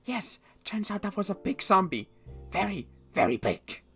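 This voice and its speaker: A man's voice: high-pitched, very animated, moderate pace. A man playing an earnest, flustered sniper in a game roleplay, stammering as he respectfully protests to his superior. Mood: nervous reporting. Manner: stammering, deferential but protesting. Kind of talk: improvised video game roleplay. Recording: music behind the voice.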